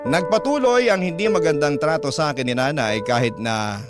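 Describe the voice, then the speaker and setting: A man with a Filipino accent reads a dramatic first-person letter as a radio drama narrator, in a measured, solemn way. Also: a little high. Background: loud piano music.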